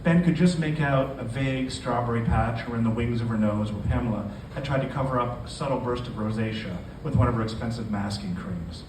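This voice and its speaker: A man's voice: mid-range voice, fairly quick, hardly any pauses. A man, a novelist, speaking to introduce and read his fiction at a live indoor literary event. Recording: echoey room from a distance, noisy.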